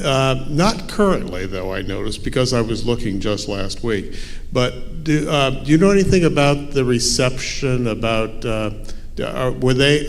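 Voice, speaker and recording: A man in the audience asking a question, slowly rephrasing as he searches for words. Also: mid-range voice. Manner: slow, searching, rephrases often. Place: lecture hall. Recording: long hall reverb.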